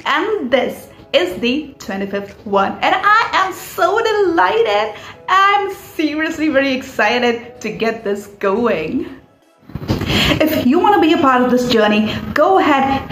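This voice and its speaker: A woman in her thirties with an Indian accent, presenting as a storyteller in an upbeat, expressive, explanatory way to viewers. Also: a little high. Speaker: storyteller and author hosting a folktale series. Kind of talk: enthusiastic scripted presentation to viewers. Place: small room indoors. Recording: roomy echo with music underneath. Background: background music.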